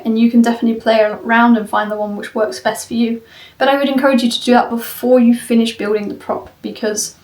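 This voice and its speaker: A woman giving an informal walkthrough of something she built, rambling in a candid, self-deprecating way. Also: on the high side. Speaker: maker presenting a cosplay prop she built. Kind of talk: informal video walkthrough and review of a build. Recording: roomy echo, far from the mic.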